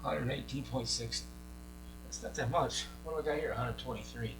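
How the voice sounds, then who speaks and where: A man's voice: mid-range voice, normal speed. A man giving a casual hands-on commentary as he repairs things, muttering and thinking aloud to himself. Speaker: radio repair hobbyist. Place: repair workshop. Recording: clear mains hum and noise.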